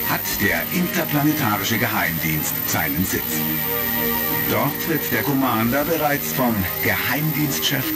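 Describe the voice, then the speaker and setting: A man narrating a scripted retro science-fiction audio drama. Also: on the low side. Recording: crackly vintage record, loud music behind.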